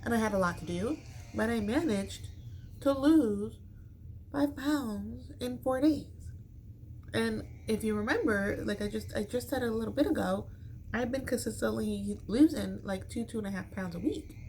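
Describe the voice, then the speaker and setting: A woman's voice: fairly high pitch, normal speed, a little mellow. A middle-aged American woman from Chicago chatting casually to her viewers in a rambling, informal, slangy way. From Chicago, United States. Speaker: vlogger. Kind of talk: casual chat to camera. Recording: noticeable background noise.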